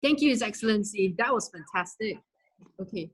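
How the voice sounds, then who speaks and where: A woman's voice: medium pitch, animated, normal speed, a little hiss or hum. A woman hosting an event, formally announcing from prepared remarks. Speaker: event host. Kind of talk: formal scripted webinar announcing.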